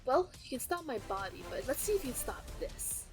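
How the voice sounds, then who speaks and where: Woman playing a bitter, high-voiced telekinetic villain. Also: very animated, a little fast, faint music behind. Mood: menacing. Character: bitter, vengeful telekinetic supervillain with wounded pride. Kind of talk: audio drama acting.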